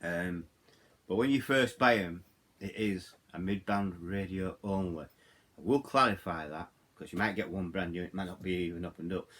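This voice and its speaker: A man's voice: low-pitched, moderate pace, a bit bright. A middle-aged man with a Yorkshire accent giving a casual hands-on product walkthrough, rambling and repeating himself as he goes. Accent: Yorkshire English. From Yorkshire, England. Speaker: hobbyist radio reviewer.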